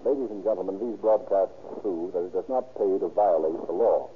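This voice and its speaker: A man's voice: low voice, brisk. An American man narrating and announcing for a 1930s radio show in a formal, dramatic, declamatory way. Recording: tinny old radio broadcast, faint noise.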